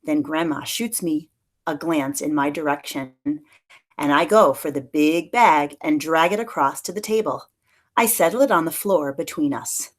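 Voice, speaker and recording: Lower-pitched woman reading a picture book aloud. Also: normal speed. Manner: expressive storytelling, acts out dialogue lightly. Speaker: teacher reading aloud to pupils.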